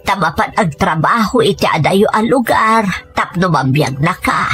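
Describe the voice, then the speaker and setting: A woman's voice: fairly low pitch, animated, normal speed, a bit bright, soft background music. A woman playing a caring, sorrowful elderly grandmother in an Ilocano-accented audio drama. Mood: sorrowful, patient.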